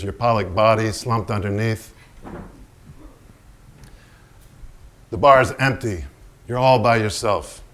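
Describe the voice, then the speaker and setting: Guy playing a hard-drinking tortured painter dude, lowish voice, sweary and brooding, theatre reading. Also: lightly acted. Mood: sardonic. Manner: profane, brooding, rambling. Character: hard-drinking, foul-mouthed, tormented male painter, self-mocking. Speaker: stage actor. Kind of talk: theatrical staged reading, monologue. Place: staged reading in a barn before an audience.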